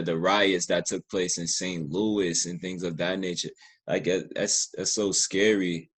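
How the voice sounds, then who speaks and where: Deep-voiced man with a husky edge. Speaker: podcast host interviewing a guest. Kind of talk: casual interview conversation.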